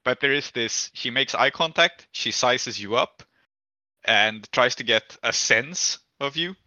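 A man's voice: mid-range voice, measured pace, bright, forceful tone, no echo, highs cut off. A man acting as game master, narrating a tabletop game in an improvised, conversational way.

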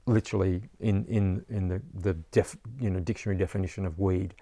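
A man's voice: sits low, fairly even intonation, brisk, warm tone. A man, an herbalist teacher chatting casually in an interview, rambling and saying "you know" often.

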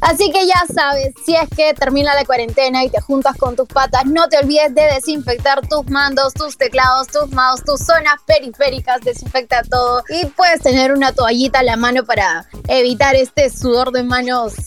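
Young female radio co-host, high-pitched, enthusiastic, light music under her voice. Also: fairly even intonation, moderate pace, few pauses. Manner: enthusiastic, conversational. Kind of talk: casual radio chat.

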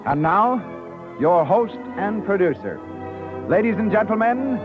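A man working as a radio announcer, reading scripted show introductions and sponsor pitches in a formal, polished 1930s style. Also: very animated, unhurried. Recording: tinny, narrow-band 1930s radio broadcast. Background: music.

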